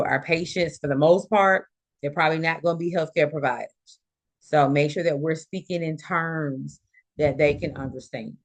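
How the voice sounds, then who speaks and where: Nursing instructor with mid-range voice, teaching informally. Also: mid-range voice. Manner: explanatory, illustrates points with quoted examples. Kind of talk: informal live lecture to students.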